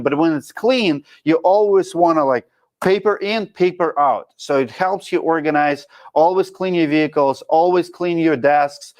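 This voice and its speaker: Casting: Russian-accented man, thirties, livestream host, frank coach, slightly high voice. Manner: frank, coaching, drops articles. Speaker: show host reading live chat. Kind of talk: casual livestream talk.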